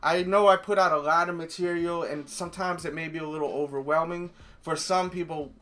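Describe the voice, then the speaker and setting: A chatty man with a New York accent talks straight to his viewers, rambling with fillers. His voice is bright and forceful and sits a little high. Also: steady tone, fairly quick, faint music behind. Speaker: sneaker YouTuber. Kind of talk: casual vlog talk to viewers.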